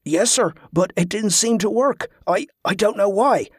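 Man acting a fantasy audiobook sailor, anxious, deferential, hesitant, high for a man, dry sound. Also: unhurried, breathy edge, no room sound. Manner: hesitant, stammering, respectful. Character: nervous, deferential male sailor reporting to his superior.

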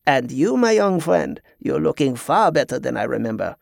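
A man's voice: medium pitch, very animated, normal speed, gravelly, no room sound, studio quality. A man voicing a crafty, hot-tempered old French pirate in an audiobook, with a French accent and effusive, flowery speech. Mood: genial, sly.

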